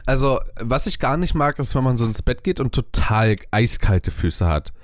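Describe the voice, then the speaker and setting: A man's voice: medium pitch, lively intonation, normal speed, no echo. Man, chatty podcast co-host, rambling with lots of ums, dry close sound. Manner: rambling, hesitant with many fillers. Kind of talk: casual chat. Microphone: webcam and desk mic at home.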